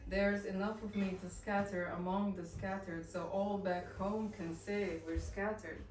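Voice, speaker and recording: A woman from Bosnia, a poet reading her work aloud and introducing each poem in a soft, halting way, her not English. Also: mid-range voice, flat delivery. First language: Bosnian-Croatian-Montenegrin-Serbian. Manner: soft-spoken, halting between poems with fillers. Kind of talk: poetry reading with spoken introductions. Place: café reading venue. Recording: roomy echoey café, voice from far off, background noise.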